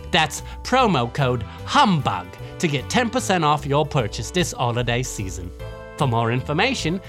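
A woman reading a playful scripted commercial as an announcer. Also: low-pitched. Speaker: advertisement announcer.

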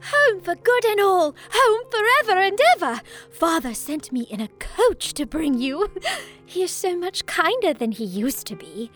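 A little sister in a radio-style play. The voice is very high and swoops around, gushing and affectionate, with music underneath. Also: female voice, very animated, a little slow, fairly bright tone, exaggerated voice. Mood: joyful, excited. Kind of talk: audio play acting.

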